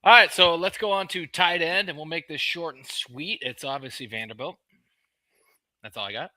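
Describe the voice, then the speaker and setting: Sports show host, American man. Very bright, punchy voice, blunt and casual, close dry sound. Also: a little high, normal speed, dry recording. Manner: blunt, profane.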